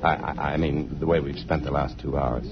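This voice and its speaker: An actor playing a courteous, impulsive suitor with hesitant starts in a 1950s radio drama. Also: male voice, extremely low pitch, fairly even intonation, fairly quick, background noise. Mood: apologetic, hesitant. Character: courteous, impulsive man romancing a woman abroad. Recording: vintage radio broadcast, muffled, music behind.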